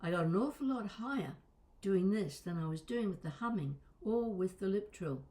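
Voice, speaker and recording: A woman's voice: medium pitch, animated, normal speed, mellow, a little hiss or hum. A woman coaching vocal exercises in a conversational, instructional way, stressing and stretching key words. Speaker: voice and accent coach.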